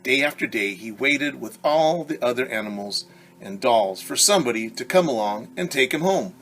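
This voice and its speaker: A man teacher reading a picture book aloud to children, animated and stopping to explain words and ask questions. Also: mid-range voice, normal speed, a little hiss or hum, low-quality recording. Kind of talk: children's story read-aloud.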